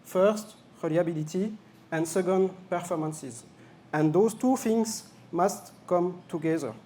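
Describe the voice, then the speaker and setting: A man's voice: high-pitched, measured pace, warm tone, slight background noise. A man from France with a French accent, presenting a product at a live launch event with some jokes and hesitant fillers. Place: event venue.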